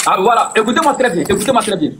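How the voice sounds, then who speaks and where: A man's voice: sits high, expressive, very fast, noisy background. A man hosting a heated live debate, repeating himself and cutting in to press his point. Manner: insistent, repeats words, cuts in. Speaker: live stream host.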